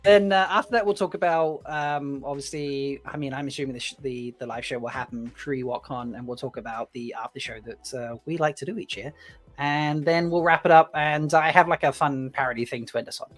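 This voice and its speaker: Male talk show host, mid pitch, relaxed phrasing, rambling and upbeat. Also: fairly quick. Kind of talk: casual presenting.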